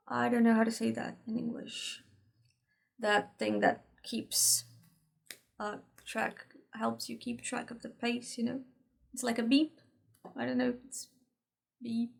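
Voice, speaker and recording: Woman, Portuguese native speaker, easygoing teacher tone, slightly high with gravelly fry. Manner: relaxed, chatty, frequent "right?" check-ins and light laughs. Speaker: energy work teacher. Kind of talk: casual instructional talk to camera.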